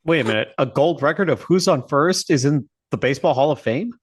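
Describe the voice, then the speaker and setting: A man's voice: a little high, lively intonation, brisk, breathy edge. A man co-hosting a podcast in casual, joking conversation. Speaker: podcast co-host. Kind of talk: casual chat, joking banter.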